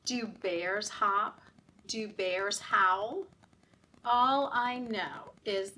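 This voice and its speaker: A woman leading story time for young children in a sing-song, deliberate, encouraging way, often asking the children questions. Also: medium pitch, bright, forceful tone, recorded from a distance.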